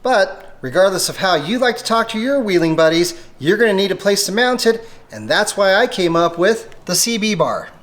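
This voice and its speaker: A man's voice: high voice, sing-song, moderate pace, hardly any pauses, fairly bright tone. A man presenting a hands-on installation tutorial, explaining things methodically step by step. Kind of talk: step-by-step how-to instruction.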